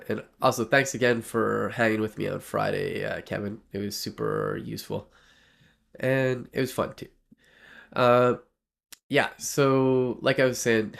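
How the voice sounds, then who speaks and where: A man's voice: medium pitch, vocal fry. A man with a nasal voice from a cold, talking casually as he streams his coding work, rambling with lots of fillers and restarts. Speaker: livestreaming developer. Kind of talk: casual live coding talk.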